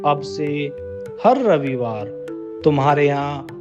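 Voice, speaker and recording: A courteous cartoon palace soldier, played by a man, announces in a slow, fairly high male voice. Clear music plays behind him. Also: animated, highs cut off. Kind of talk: animated cartoon story acting.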